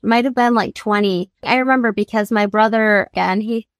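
A woman's voice: medium pitch, normal speed, no echo, studio quality. A young woman from the United States, a singer-songwriter guest, telling her life story candidly and earnestly, laughing easily as she talks.

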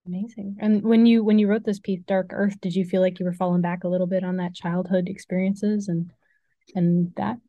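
A woman's voice: medium pitch, little pitch movement, fairly quick, warm tone, no room sound. A woman hosting a casual interview in an informal, approving way, heard in muffled sound. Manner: brief approving responses, informal. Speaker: interview host.

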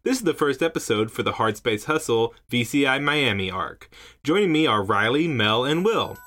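A man acting as game master for a tabletop game, explaining the rules casually with lots of fillers and quick laughs. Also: mid-range voice. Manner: lively, laughs easily, many fillers. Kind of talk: running a tabletop game session.

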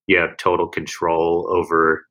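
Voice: low voice, monotone, measured pace, a bit bright. A podcast co-host asking a casual, easygoing question. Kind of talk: casual chat.

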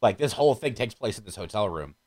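A low-voiced man in casual podcast conversation, with pitch that swings widely as he makes his points. He is blunt and emphatic and swears freely. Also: fast talker. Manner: profane, blunt; animated, emphatic.

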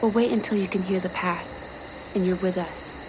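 An actress playing an eerie, patient crewmate who calmly coaxes someone onward in an audio drama. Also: female voice, lowish voice, normal speed, background noise, close to an everyday voice. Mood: calm, beckoning. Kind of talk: modern audio drama acting.

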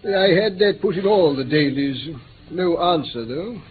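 An actor playing an urbane, drily complacent gentleman with an English accent and a self-assured manner in a radio play. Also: a little high, animated, measured pace. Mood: dry, matter-of-fact. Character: urbane, drily complacent elder brother of a detective. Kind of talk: radio drama acting. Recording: thin, tinny old radio recording.

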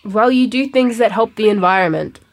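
A woman's voice: mid-range voice, lively intonation, brisk, close to an everyday voice. An actress playing an exasperated schoolgirl granddaughter in a comic audio drama. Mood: weary. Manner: exasperated, sighing.

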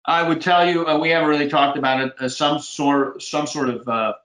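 A man, a commercial real estate broker, giving earnest, folksy career advice in a podcast interview with halting restarts. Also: on the high side, fairly even intonation, fairly bright tone. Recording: roomy echoey sound.

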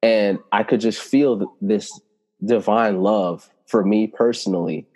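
A man whose first language is Italian, telling personal spiritual stories in an earnest, reflective way, slowing down to stress key words. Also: lowish voice, steady tone, a little mellow, in a large hall. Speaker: spiritual teacher and healer.

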